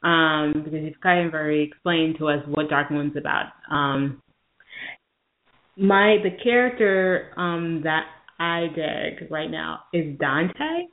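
A woman's voice: low voice, lively intonation, takes it slowly. A woman hosting an interview, asking casual, conversational questions. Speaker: interview host. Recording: dull, lo-fi internet call audio.

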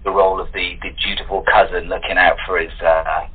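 A man chatting casually and jokingly as an actor guest in an interview, his voice husky and rough. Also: low-pitched, little pitch movement, fairly quick. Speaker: radio play actor as guest. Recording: tinny, narrow phone-line sound.

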